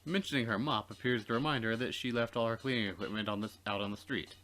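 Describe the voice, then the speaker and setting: A man's voice: mid-range voice, fast talker, a bit bright, a little hiss or hum. A man reading visual novel narration aloud for a let's play, with casual asides.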